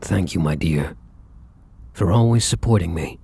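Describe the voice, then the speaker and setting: Guy doing a romantic vampire boyfriend for asmr, really breathy n husky, kinda low, flowery old-fashioned way of talking. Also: unhurried, dark tone, heavily acted. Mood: tender, solemn. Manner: formal, flowery, theatrical endearments. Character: devoted, courtly male vampire lover, anxious and romantic. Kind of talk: ASMR roleplay, scripted romantic monologue.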